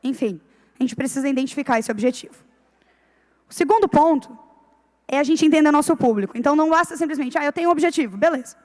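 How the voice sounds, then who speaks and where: A woman's voice: sits high, fast. A woman giving a conference talk, explaining step by step and often checking in with "né". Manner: explanatory, frequent "né" tag questions. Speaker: conference speaker. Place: large conference hall. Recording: long hall reverb.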